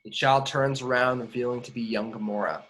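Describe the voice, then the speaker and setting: A man's voice: mid-range voice, fairly even intonation, a little fast, fairly bright tone. A man reading screenplay stage directions aloud at an informal table read, occasionally stumbling over words. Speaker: action description reader.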